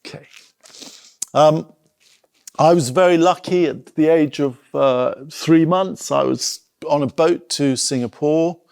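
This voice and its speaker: Slow, creaky-voiced middle-aged man giving a talk. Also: on the high side, darkish tone, dry recording, clean studio sound. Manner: reflective, hesitant, thinking aloud with pauses. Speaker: art school director giving a talk. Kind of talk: informal illustrated public talk.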